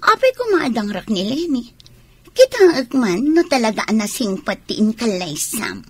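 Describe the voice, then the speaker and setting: A woman playing a scheming, spying gossip in an Ilocano radio drama, talking in drawn-out mutters and exclamations with a husky voice. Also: on the high side, big pitch swings, a little mellow. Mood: suspicious, provoking.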